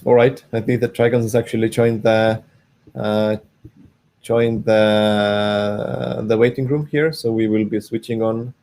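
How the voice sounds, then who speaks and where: A man organizing an online event, talking over a video call in a halting way, full of "I mean" and "uh". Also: lowish voice, steady tone, darkish tone. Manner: halting, heavy "I mean" and "uh" fillers. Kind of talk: casual spoken chat.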